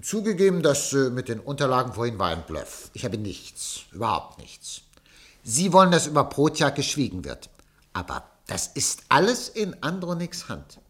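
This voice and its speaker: A man's voice: medium pitch, wildly swooping pitch, normal speed, a bit bright. A man acting a wheedling, fawning newspaper director in a German radio play. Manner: wheedling, swinging from bluster to fawning. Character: fawning middle-aged male newspaper director.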